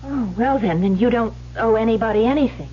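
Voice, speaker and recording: An actress playing an anxious, affectionate wife who questions emphatically in an old-time radio drama. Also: female voice, fairly high pitch, fairly quick, natural voice. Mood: reassuring, uneasy. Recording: dull, noisy old radio recording.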